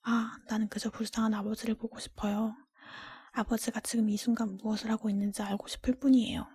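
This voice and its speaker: A woman voicing a kind, honest, resolute young woman in a fairy tale, polite and tender in a breathy whisper. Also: on the high side, little pitch movement, fairly quick, warm tone. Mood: longing. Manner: polite, candid, tender speech.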